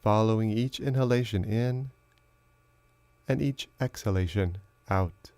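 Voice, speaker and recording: Male meditation teacher, fairly low register, measured instructional delivery with generous pauses, very dry recording. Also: darkish tone, dry recording. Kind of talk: guided meditation narration.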